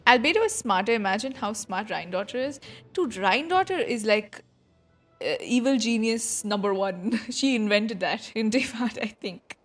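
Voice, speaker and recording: A woman's voice: fairly high pitch, expressive, normal speed, a bit bright, faint music behind. A woman streamer giving casual live reaction commentary on a game, musing aloud informally.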